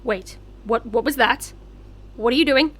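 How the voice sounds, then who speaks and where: A woman's voice: on the high side, unhurried, fairly bright tone. A woman playing a disapproving, exasperated young woman in a comedy audio drama, with a dramatic delivery. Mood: alarmed.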